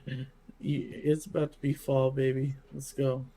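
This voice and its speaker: A man with a mid-range voice banters casually as a podcast co-host. He chimes in briefly and agreeably, using relaxed slang. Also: mid-range voice, unhurried. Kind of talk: casual group chat.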